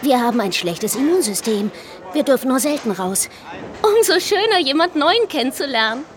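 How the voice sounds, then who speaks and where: An actress playing a naive, bright young female clone who works as a soap actress in a radio drama. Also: high voice, expressive, moderate pace, crowd noise. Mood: friendly, shy.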